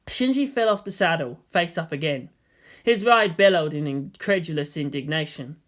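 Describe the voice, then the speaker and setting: A narrator reading a fan fiction story aloud in an expressive, scripted storytelling style. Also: mid-range voice, moderate pace.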